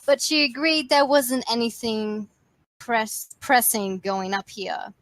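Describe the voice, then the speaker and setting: A woman playing a kind, earnest male team leader who speaks gently and sincerely in an amateur dramatic reading. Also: on the high side, slow, no room sound. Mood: warm, earnest.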